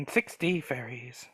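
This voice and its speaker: A man voicing a grumpy, gruff male dwarf gardener, speaking in a cagey, grumbling way over a video call. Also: fairly high pitch, pushed and bright, no echo, clean studio sound, exaggerated voice. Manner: grumbling, cagey, rough working-class speech. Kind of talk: live dramatic reading over video call.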